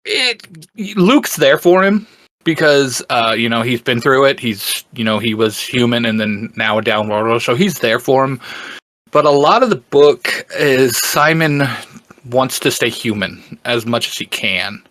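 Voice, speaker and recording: A man speaking as a podcast guest, explaining things casually and haltingly as he searches for words. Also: medium pitch, expressive, a bit bright, no room sound. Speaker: podcast guest and fan explaining a book series.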